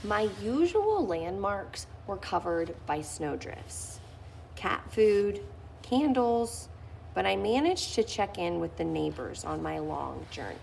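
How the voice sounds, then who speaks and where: Slow, gravelly woman reading a story. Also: mid-range voice, slight background noise. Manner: expressive, with lively lifts on key phrases. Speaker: reader of a children's book. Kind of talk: read-aloud storytelling.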